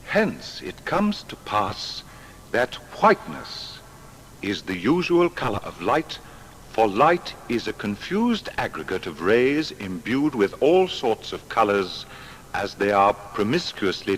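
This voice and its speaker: An actor playing a proud, exacting seventeenth-century English natural philosopher, reading his papers in formal, deliberate diction. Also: lowish voice, sing-song, fairly bright tone, exaggerated voice. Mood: measured, absorbed. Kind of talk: dramatised reading of period letters and papers. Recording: long hall reverb, faint background noise.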